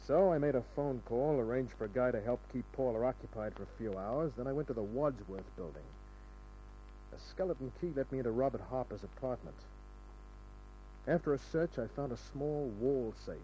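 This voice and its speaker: A man's voice: mid-range voice, warm tone, natural voice. Man acting a hard-boiled radio detective, dull noisy recording. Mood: matter-of-fact. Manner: hard-boiled, sardonic, clipped. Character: tough, sardonic male private detective. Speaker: actor playing the lead and narrator. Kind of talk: old-time radio crime acting.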